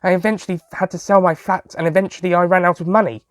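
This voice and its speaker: A man's voice: sits high, level tone, quick pace, dry recording, clean studio sound, pitched above the performer's own voice, lightly acted. A man voicing a meek, grateful young homeless woman with an earnest, plaintive manner in an audio story. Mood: plaintive, explaining.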